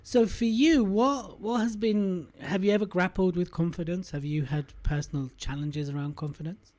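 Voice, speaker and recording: A man's voice: sits high, moderate pace, darkish tone. A man hosting a podcast interview, asking probing questions and summarizing what his guest says. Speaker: podcast host.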